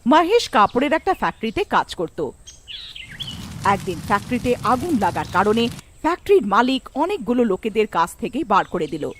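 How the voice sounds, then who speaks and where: A woman narrates a scripted horror cartoon. Her voice is high, with animated, storybook-style rises and falls. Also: normal speed, slight background noise.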